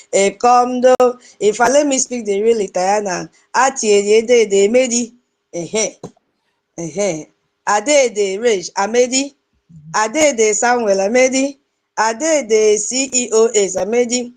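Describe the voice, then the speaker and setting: A woman's voice: medium pitch, unhurried. Nigerian woman hosting a live audio room. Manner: greets listeners one by one in dialect, sing-song and warm. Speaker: host of an online audio space. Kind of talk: opening greetings and welcomes.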